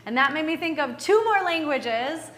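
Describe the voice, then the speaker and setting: A woman's voice: high voice, expressive, moderate pace, background noise. A woman from Wisconsin, a missionary, introducing herself to a church congregation in a cheerful manner and laughing often. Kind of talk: church presentation.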